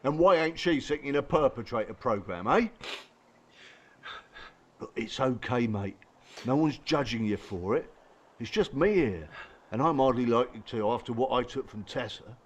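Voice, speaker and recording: An actor playing a blunt, colloquial, reassuring working-class footballer in an audio drama. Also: male voice, medium pitch, lively intonation, moderate pace, long pauses, natural voice. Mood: earnest, reassuring. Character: blunt, supportive working-class footballer, male abuse survivor.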